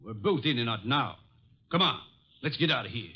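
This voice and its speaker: Slick cold card cheat, clipped bossy orders, medium pitch, muffled old radio play. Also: male voice, expressive, moderate pace, breathy edge. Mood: urgent, bossy. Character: slick, cold-blooded crooked card sharp. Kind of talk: 1950s radio drama acting.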